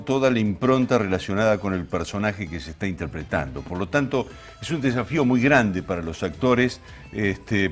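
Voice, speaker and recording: Argentine man hosting a television talk show. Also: fairly low pitch. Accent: Argentine Spanish. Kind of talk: conversational programme introduction to camera.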